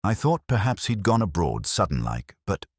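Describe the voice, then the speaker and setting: A man's voice: deep voice, big pitch swings, normal speed, husky, no room sound, studio quality, voice pushed up, full character voice. A man voicing a harried, deferential working-class landlady, hesitant and uneasy, in an audiobook story. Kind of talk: audiobook detective story dialogue.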